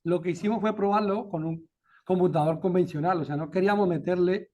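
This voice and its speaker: A man with a Colombian accent presenting his statistics research and answering questions in a relaxed way, often ending his points with a questioning tag. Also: high-pitched, brisk. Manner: relaxed, frequent "¿no?" tags and "eh" fillers. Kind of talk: academic talk and Q&A.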